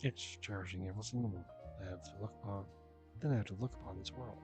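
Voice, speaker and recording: A man's voice: fairly low pitch, quick pace. A man reading video game dialogue and narration aloud, stumbling over words and correcting himself. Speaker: game streamer. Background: game music.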